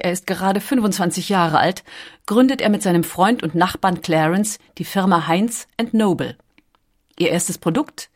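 A woman reading scripted documentary audiobook narration in a measured, articulate storytelling manner. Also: lowish voice.

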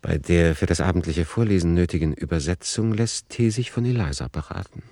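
Low, dry-sounding male narrator. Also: dark tone, no echo. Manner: formal, matter-of-fact, measured. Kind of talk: scripted documentary-like radio drama narration.

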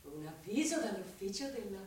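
A woman's voice: mid-range voice, sing-song, moderate pace, darkish tone, natural voice. An actress playing a blunt, nosy servant woman who scolds and cares in a brusque, familiar, theatrical radio drama manner. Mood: teasing concern. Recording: echoey, distant, noisy drama recording.

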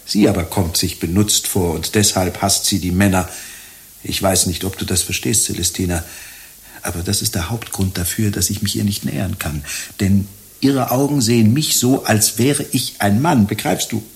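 An actor playing a naive, insecure young man in love in a radio play, earnest and halting, often repeating himself. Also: on the low side, fairly even intonation, vocal fry. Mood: anguished, hesitant.

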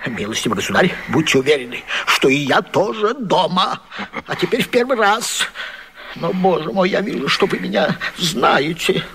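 High-pitched, flustered, pompous gentleman. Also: lively intonation, moderate pace, a little hiss or hum. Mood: agitated. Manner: pompous, agitated, indignant. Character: fussy, flustered respectable married man caught under a bed. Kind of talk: theatrical radio vaudeville acting.

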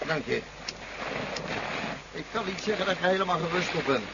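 An actor playing a curt military pilot with a clipped, dryly ironic manner in a 1970s Dutch radio drama. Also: male voice, high voice, a little fast. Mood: relieved, uneasy. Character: male military pilot, curt and cool under pressure. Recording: muffled, noisy 1970s radio recording.

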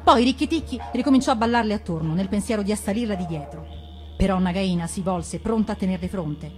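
Female storyteller: expressive storybook narration, medium-pitched voice, faint musical backing. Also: fast talker, faint music behind. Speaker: actress reading stories on radio.

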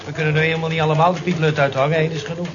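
Actor as a flippant, higher-voiced office man. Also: flat delivery, very fast, close to an everyday voice. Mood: mocking. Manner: offhand, teasing, scornful. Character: flippant, dismissive, mocking male office colleague. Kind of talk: vintage radio play acting. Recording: dull, noisy old radio play recording.

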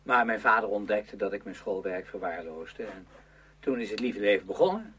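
Expressive, bright-voiced moody schoolboy. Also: male voice, fairly low pitch, normal speed, husky. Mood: bitter, confiding. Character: moody, confiding teenage schoolboy from the city. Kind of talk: Dutch radio play acting. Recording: hum and faint noise, radio drama recording.

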